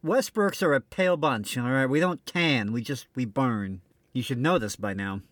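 A man's voice: mid-range voice, normal speed, a bit bright, no room sound. A man hosting an old-time radio show in scripted comic banter, mock-serious with some stammering.

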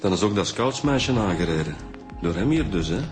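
An actor playing an earnest police detective in a radio drama, talking matter-of-factly with a Flemish accent. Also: male voice, deep voice, animated, fairly quick, over music. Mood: focused. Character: earnest, matter-of-fact male police detective, Rudy's rank below chief. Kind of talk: naturalistic radio drama acting.

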